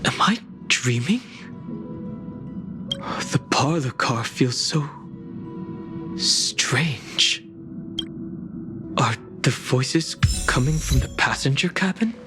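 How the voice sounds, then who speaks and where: Young male guard, dutiful, measured, unhurried, video game, loud music behind. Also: medium pitch, animated. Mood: puzzled.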